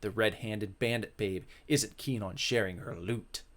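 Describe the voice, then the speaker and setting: A man's voice: lowish voice, a little slow, a bit bright, voice pushed down. A man playing a cocky, gloating, treacherous young woman thief in a visual novel, full of smug self-congratulation.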